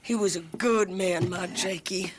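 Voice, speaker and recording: A woman's voice: fairly low pitch, fairly even intonation, unhurried, over music, lightly acted. A woman playing a tough, grieving American outlaw widow with a Western accent, blunt and raw, in a dramatic video game. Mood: grieving, tender.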